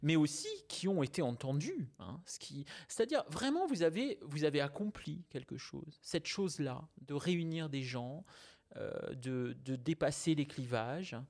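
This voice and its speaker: A man's voice: fairly high pitch, lively intonation, normal speed, creaky, darkish tone. A man interviewing a guest, asking long, probing questions that sum up her story.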